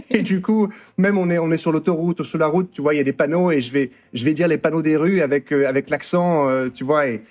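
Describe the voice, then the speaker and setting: A man's voice: a little high, moderate pace. A man, an actor and voice coach, telling stories in a casual, playful interview chat. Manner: animated, playful storyteller.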